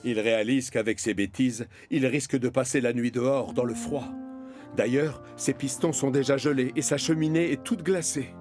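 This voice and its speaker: A man narrating a children's story from a script in an expressive storytelling manner. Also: mid-range voice, normal speed, music in the background.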